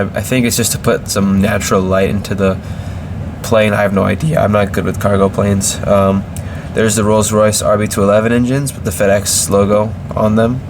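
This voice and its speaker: Casual reviewer, male, low and monotone, stuffy from a cold, stumbling with fillers, steady hum behind. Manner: hesitant, many ums and restarts. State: slightly congested from a cold. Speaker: model aircraft reviewer.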